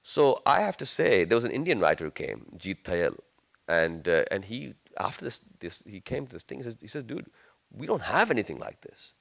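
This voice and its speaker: Deep-voiced Pakistani-born novelist. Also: male voice, sing-song, moderate pace, gravelly, fairly bright tone. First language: Urdu. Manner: rambling, self-correcting storyteller. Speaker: novelist guest. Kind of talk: onstage conversation.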